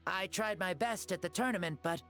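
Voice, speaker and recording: A man's voice: high-pitched, brisk, a bit bright. An actor voicing a breezy, self-mocking ancient male hero spirit who is borrowing a boy's body, in a game. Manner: easygoing, self-mocking, playful. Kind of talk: game voice acting. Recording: game audio with music under.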